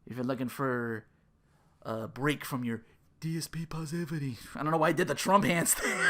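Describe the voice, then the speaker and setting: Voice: medium pitch, huge pitch swings, unhurried, bright, forceful tone. A podcast host in their thirties on a casual comedic rant, sarcastic and profane, sometimes slipping into mocking quotes. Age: 30s.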